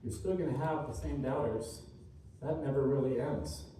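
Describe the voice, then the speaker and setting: Echoey, distant, very mellow male motivational speaker. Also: medium pitch, steady tone. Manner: earnest, deliberate, short emphatic phrases with rhetorical repetition. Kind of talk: inspirational keynote speech to a live room. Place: large indoor room at a seminar. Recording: distant mic, echoey room, faint noise.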